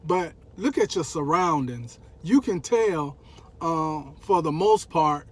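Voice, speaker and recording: A man's voice: fairly high pitch, expressive, takes it slowly. An American man co-hosting a relationship vlog, chatting casually in a colloquial, joking way. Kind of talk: casual chat.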